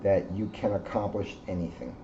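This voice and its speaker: A man in his sixties, a police officer reading aloud to children and commenting informally in an earnest, expressive way. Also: fairly low pitch, normal speed, creaky. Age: 60s. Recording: muffled, noisy amateur video sound.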